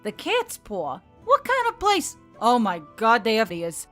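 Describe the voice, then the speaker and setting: A woman voicing an excitable hoofed female adventurer in a fantasy audiobook. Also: fairly high pitch, measured pace, soft background music, full character voice. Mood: amazed.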